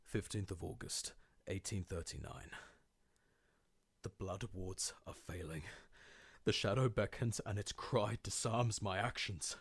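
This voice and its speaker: A man's voice: lowish voice, fairly even intonation, a little slow, breathy edge, no echo. A man playing a tormented, guilt-ridden diarist sinking into madness, in an intense, formal horror-game monologue. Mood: urgent, menacing. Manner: theatrical, formal old-fashioned diction, intense. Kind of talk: dramatic monologue, video game horror fandub.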